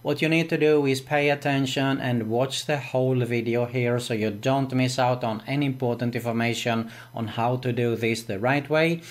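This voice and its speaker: A man's voice: medium pitch, fairly even intonation, moderate pace, hardly any pauses. A man hosting an online tutorial, narrating step by step in a salesy way with repeated stock phrases. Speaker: video host. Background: background music.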